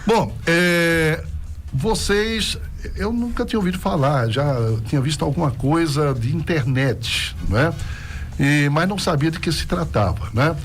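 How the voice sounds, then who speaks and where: A man's voice: fairly high pitch, animated, unhurried, slight background noise. A man with a Northeastern Brazilian accent hosting a casual radio interview in a chatty, folksy way, often checking for agreement with tag questions. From Pernambuco, Brazil. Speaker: radio host interviewing guests. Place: radio station studio. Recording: music behind the voice. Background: music.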